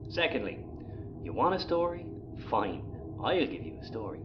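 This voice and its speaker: An actor playing a grumpy, sarcastic, exasperated spaceship captain in a sci-fi audio comedy. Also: male voice, on the low side, sing-song, moderate pace, dull audio, background noise. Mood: irritated, sarcastic. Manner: exasperated, sardonic.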